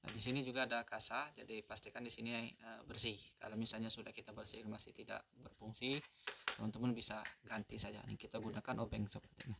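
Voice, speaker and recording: A man giving an unhurried, step-by-step phone repair tutorial, pausing often with fillers. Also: fairly low pitch, little pitch movement, gravelly, sharp tone, a little hiss or hum.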